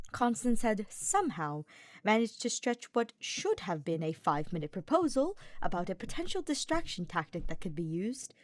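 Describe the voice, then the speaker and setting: A woman reading a scripted story aloud as an audiobook-style narrator, expressive and deliberate. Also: mid-range voice, no echo, clean studio sound. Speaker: audiobook-style reader of fan fiction.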